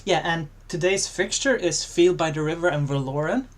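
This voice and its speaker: Relaxed man commentating a game. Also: on the high side, moderate pace. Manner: relaxed, joking, explains the format. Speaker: esports commentator. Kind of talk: casual live game commentary.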